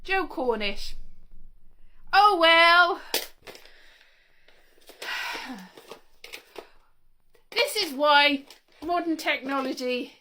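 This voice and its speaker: High, bright-voiced woman chatting casually. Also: animated, drawn-out words, pauses often, slight background noise. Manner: chatty, wry, self-interrupting. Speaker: vlogger showing old interview tapes. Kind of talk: casual rambling monologue to camera.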